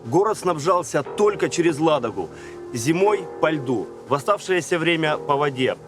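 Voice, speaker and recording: A man's voice: on the high side, expressive, moderate pace. A man hosting a reality show, presenting and briefing the contestants. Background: faint music.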